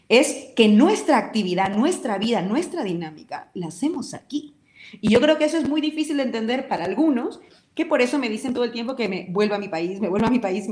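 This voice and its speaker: A woman's voice: medium pitch, darkish tone. A Peruvian woman talks as a radio guest with fierce conviction, pressing each point home. Her voice sits in the middle of the range. The recording carries a roomy echo around her. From Peru. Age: adult with a decade living abroad. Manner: vehement, passionate, speaks her opinions firmly. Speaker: interview guest, talk show host and commentator. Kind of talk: radio interview.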